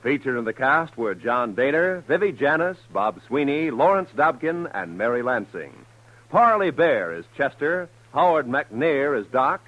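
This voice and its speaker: A man giving the closing announcements of a 1950s radio broadcast in a crisp, formal announcer manner. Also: fairly high pitch. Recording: dull old radio broadcast with faint music.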